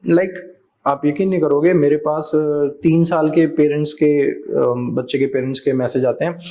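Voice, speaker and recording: A man who is a teacher, talking as a podcast guest in an animated way, acting out other people's voices and switching into English phrases. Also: on the high side, normal speed. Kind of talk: conversational podcast interview.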